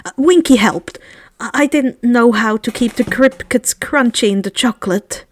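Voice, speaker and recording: A woman's voice: a little high, moderate pace, a little hiss or hum, close to an everyday voice. Woman doing an awkward, eager teenage boy. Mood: flustered, earnest. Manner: hesitant, stammering, breathless with awe.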